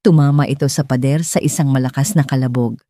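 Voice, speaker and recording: A woman's voice: very deep voice, fairly even intonation, a little fast, warm tone, no echo. A woman narrating a scripted dramatic story as an audiobook reader.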